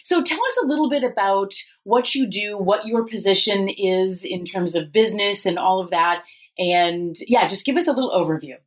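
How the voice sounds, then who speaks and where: A woman's voice: medium pitch, normal speed. A woman hosting a podcast, introducing and questioning her guest in a conversational interview style.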